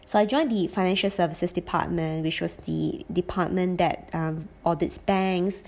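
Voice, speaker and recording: A woman in her late twenties telling how her auditing career went, in a thoughtful, casual way with lots of pauses and fillers. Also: on the low side, fairly even intonation, gravelly, a little mellow. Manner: thoughtful, with frequent um fillers and restarts. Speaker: former auditor sharing her career experience. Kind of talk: casual reflective monologue.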